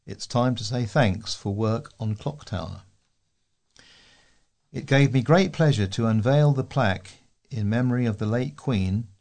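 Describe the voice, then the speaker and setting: A man's voice: lowish voice, a little mellow. A man reading local newspaper letters aloud as a volunteer newsreader.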